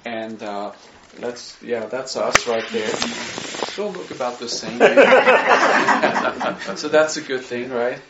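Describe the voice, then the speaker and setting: A man's voice: mid-range voice, sing-song, takes it slowly, very noisy. A man with a light Swiss accent walks a church audience through his mission slides. He talks earnestly and often tags his points with "right?" The sound is roomy and a little muffled, as if recorded in a church hall. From Switzerland. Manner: earnest, warm asides, frequent "you know" and "right?". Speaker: missionary speaker. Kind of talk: presenting slides to a congregation.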